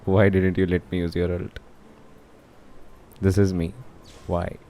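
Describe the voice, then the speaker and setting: A man's voice: deep voice, completely flat delivery, unhurried, dark tone. A young man with an Indian accent chatting casually and commenting as he livestreams a game. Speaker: game streamer. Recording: voice over game music.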